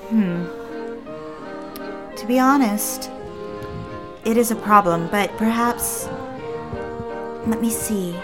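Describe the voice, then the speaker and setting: A woman's voice: medium pitch, slow, pauses often, over music, natural voice. A woman playing an eager, lonely society housewife in a period audio drama, genteel and coaxing in manner. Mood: gentle, managing.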